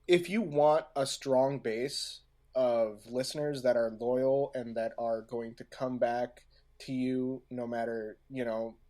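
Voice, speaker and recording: Slow, halting man in casual discussion. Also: mid-range voice, fairly even intonation, dark tone. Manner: halting, searching for words, often repeating himself. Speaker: podcast co-host. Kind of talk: casual discussion of audio drama craft.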